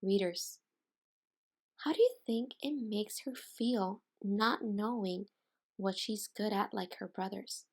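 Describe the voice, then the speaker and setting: A woman teacher doing an interactive storybook read-aloud, expressive and deliberate, pausing to put questions to young listeners. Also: medium pitch, husky. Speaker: teacher reading aloud to children.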